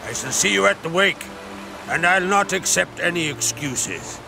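An actor playing a hearty, commanding island chieftain with a gravelly voice in a fantasy game. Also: male voice, sits high, lively intonation, normal speed, music in the background. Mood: hearty, insistent. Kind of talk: video game dialogue acting.

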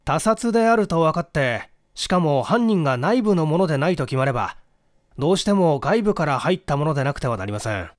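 A man's voice: on the high side, very animated, fairly quick, no room sound, studio quality. Man reading as an eager, courteous private detective. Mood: probing, reasoning. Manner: very deferential, formal, earnest. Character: polite, eager male private detective with heavy moustache. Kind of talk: audiobook character dialogue.

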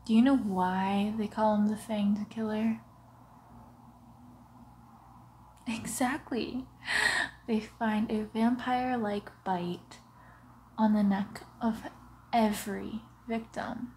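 Woman as a very slow, breathy vampire seductress. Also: medium pitch, steady tone, slight background noise, lightly acted. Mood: smug, amused. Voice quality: breathy, with wet mouth sounds. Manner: slow, hushed, often slipping into whispers, teasing. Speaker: ASMR roleplay performer. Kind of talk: ASMR roleplay, close breathy murmuring. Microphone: close to the mic.

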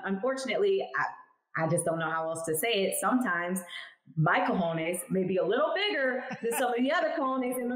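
A woman's voice: on the high side, echoey room, rough recording. A woman in her thirties, a chemist guest on a video call, telling her story candidly and emphatically. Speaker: guest, cannabis lab chemist. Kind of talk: casual interview talk. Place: home room, indoors, video call. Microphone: headphones on video call.

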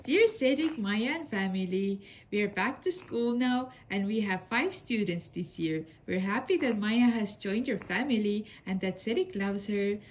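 A young woman, a pet channel host reading holiday mail aloud at home, speaking gently with soft laughs and cooing at her dogs. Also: a little high, poor audio. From Estonia. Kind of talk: casual vlog narration reading mail aloud. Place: home room with bed, off camera.

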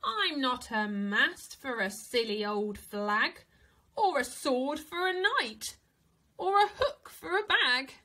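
An indignant little stick creature from a storybook, voiced by a woman. He is proud and homesick, protesting in high, swooping, drawn-out declarations. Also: lively intonation, bright, forceful tone, heavily acted.

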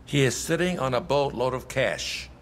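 An actor playing a stern, sardonic police chief in a video game, clipped and interrogating. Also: male voice, medium pitch, expressive, moderate pace, long reverb tail, slight background noise, close to an everyday voice. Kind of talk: cinematic video game acting.

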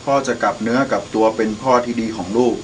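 A man's voice: lowish voice, fairly even intonation, quick pace, a little hiss or hum, close to an everyday voice, low-quality recording. A young man acting the role of a father, a brash drinker who turns repentant, in a student radio drama. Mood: calm, repentant. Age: young adult. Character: drunken brash father, later a repentant gentle monk.

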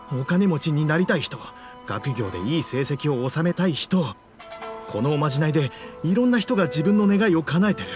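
A man playing a stubborn, theatrical, pleading struggling writer in an anime game. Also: a little high. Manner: theatrical, exasperated, insistent. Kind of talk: Japanese anime game voice acting. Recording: background music under the voice.

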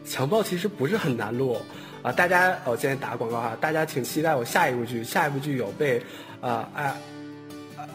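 A man chats casually with fellow cast members in a hesitant way, often doubling back on phrases. His voice sits at a middle pitch, with faint guitar music playing behind it. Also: a little fast. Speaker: voice actor at a cast chat, later reading questions. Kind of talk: casual online cast chat.

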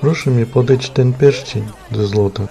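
A man's voice: mid-range voice, unhurried, creaky, dark tone, a faint crowd. A man playing a proud, self-important rich husband in a cartoon. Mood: boastful.

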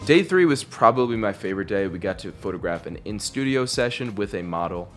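Young guy doing trip recap voiceover, lowish voice, chill, music in the back. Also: a little fast. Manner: relaxed, reflective storytelling. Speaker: vlogger narrating. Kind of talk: recapping voice-over narration.

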